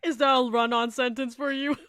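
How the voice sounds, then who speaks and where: A woman with a gravelly, husky voice throwing comic, acted interjections into a reading. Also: high voice, fairly even intonation, a little fast, fairly bright tone, no room sound, clean studio sound. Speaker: co-reader performing interjections.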